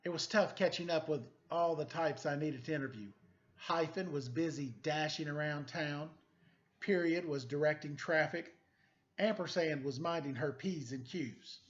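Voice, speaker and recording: A man's voice: on the high side, normal speed, muffled recording. A middle-aged man reading a story aloud for children in a playful, quizzing manner. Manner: playful, quizzing young listeners. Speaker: story-time teacher reading a picture book aloud.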